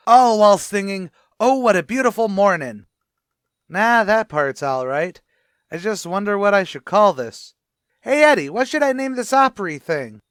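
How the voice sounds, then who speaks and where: A man's voice: high voice, fairly bright tone, smooth, no echo, clean studio sound. A man playing a wisecracking tavern manager in an old-time radio comedy, talking in brash, ungrammatical tough-guy patter. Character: wisecracking middle-aged male tavern manager.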